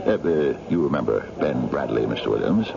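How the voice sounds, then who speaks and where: An actor playing a crafty, courteous old chess master in a radio drama, speaking unhurriedly with polite hesitations and a gravelly voice. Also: male voice, extremely low pitch, lively intonation, brisk, full character voice. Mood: courteous, controlling. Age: older. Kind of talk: 1970s radio drama acting. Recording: noisy, dull 1970s radio recording.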